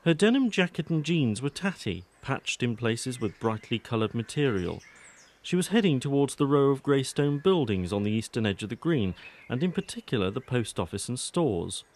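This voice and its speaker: A man's voice: mid-range voice, big pitch swings, normal speed. A man narrating a scripted audio drama in the manner of an audiobook.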